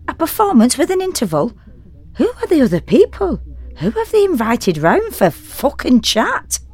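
A woman playing an exhausted, fretful woman who narrates a confessional audio drama monologue in an intimate, wry, deliberate way. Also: high voice, darkish tone, no echo. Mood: exasperated, incredulous. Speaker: narrator and actor.

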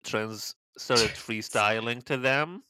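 A man co-hosting a podcast, chatting casually with wry, deadpan jokes over a remote call. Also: mid-range voice, slow pace, smooth, no echo. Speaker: podcast co-host. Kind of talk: casual chat recapping a reality show. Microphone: remote call microphone.

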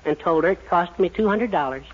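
A man's voice: sits high, fairly quick, breathy edge. An actor playing a henpecked, sheepish middle-aged American father with mournful, melodramatic phrasing in a 1940s radio sitcom. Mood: rueful storytelling. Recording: dull 1940s radio recording.

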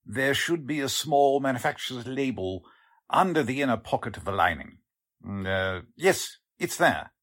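Male actor as humble office clerk, raspy edge, polite, earnest, hesitant, vintage radio drama. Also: mid-range voice, sing-song, moderate pace, fairly bright tone. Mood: helpful. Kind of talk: 1940s radio drama acting.

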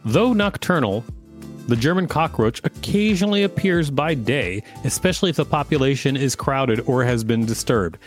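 Male podcast co-host, playful reader with mid-range pitch, faint music underneath. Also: expressive, normal speed. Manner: playful, joking. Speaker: podcast co-host reading encyclopedia articles. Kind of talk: read-aloud narration with casual banter.

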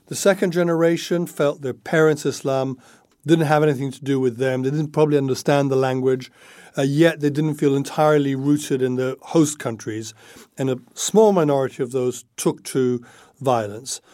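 A man with a soft, dark tone gives analytical commentary as an editor in an interview. His voice sits at a middle pitch in a very dry recording. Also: steady tone, moderate pace, no room sound. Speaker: journalist, foreign affairs editor.

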